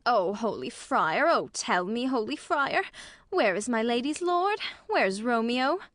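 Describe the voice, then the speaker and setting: A woman's voice: fairly high pitch, big pitch swings, measured pace, a bit bright, dry recording, studio quality. A woman playing a fussy, woeful nurse in a Shakespearean tragedy, with a wailing, melodramatic delivery. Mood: fretful, scolding. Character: fussy, woeful female nurse serving a young lady. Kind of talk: classical stage tragedy in audio.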